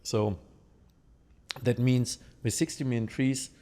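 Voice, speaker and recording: A man from Germany with a German accent, explaining things conversationally and haltingly in an interview, checking in with his listener. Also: mid-range voice, fairly even intonation, warm tone.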